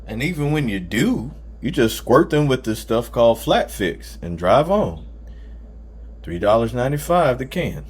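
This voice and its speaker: A man's voice: medium pitch, sing-song, unhurried, darkish tone, slightly rough, pitched above the performer's own voice. A man voicing a preachy, know-it-all preacher brother in an audiobook, lecturing as if to a crowd.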